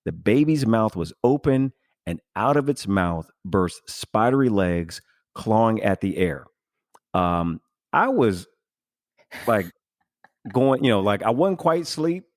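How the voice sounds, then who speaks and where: A man with a fairly low voice hosts a podcast, rambling through his thoughts with plenty of fillers and false starts. The sound is dry and close, with no room around it. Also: lively intonation, slow pace, darkish tone, no echo. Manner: rambling, many fillers and restarts. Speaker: podcast host. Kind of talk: casual podcast chat.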